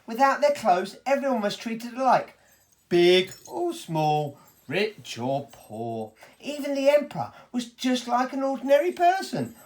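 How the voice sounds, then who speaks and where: A woman's voice: fairly high pitch, sing-song, measured pace, darkish tone. A woman reading a story to children in a theatrical, playful and emphatic way.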